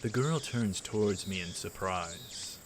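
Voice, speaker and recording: A man with a deep, low voice reads a visual novel aloud for a let's play. He moves between measured narration and halting casual asides. The home recording carries clear background noise.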